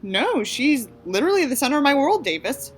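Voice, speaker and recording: A woman's voice: high-pitched, very animated, brisk. A woman voicing an earnest, gushing, smitten seventeen-year-old boy in a mocking story reading.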